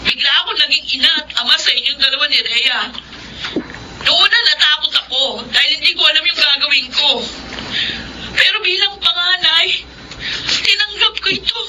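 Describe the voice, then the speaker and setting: A Filipino actress playing a self-sacrificing eldest sister in a radio drama, speaking with emotional, pleading emphasis. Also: female voice, high-pitched, moderate pace, noisy background, natural voice. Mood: tearful resolve. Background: dramatic music.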